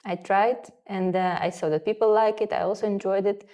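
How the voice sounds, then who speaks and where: A woman's voice: mid-range voice, steady tone, fairly quick, warm tone. A woman from Russia, not a native English speaker, talking casually as an interview guest in her sewing studio and often quoting her own past thoughts. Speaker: guest, sewing school founder.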